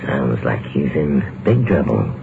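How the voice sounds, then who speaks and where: An actor playing a sly, drawling bookie with mob ties in a 1950s radio drama. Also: male voice, a bass voice, sing-song, vocal fry, heavily acted. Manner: slow, sly, drawling with hesitations. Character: sly, gravelly bookie who deals with mobsters. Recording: tinny, hissy old radio broadcast.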